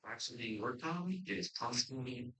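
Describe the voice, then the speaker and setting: A man's voice: medium pitch, expressive, unhurried, background noise. A man from Connecticut moderating a student quiz competition, chatting loosely with fillers and then reading questions out steadily.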